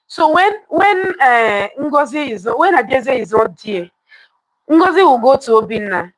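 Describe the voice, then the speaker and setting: High-voiced woman telling folktales live. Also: a bit bright, no room sound, rough recording. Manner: repeats and rephrases lines for emphasis, asks listeners questions. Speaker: live storytelling host. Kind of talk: live interactive folktale storytelling, addressing viewers.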